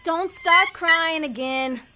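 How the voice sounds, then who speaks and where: A woman playing a scheming, bossy female agent of Hell in a comedic audio drama, brisk, persuasive and easily exasperated. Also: extremely high pitch, pushed and bright, exaggerated voice. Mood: coaxing, firm.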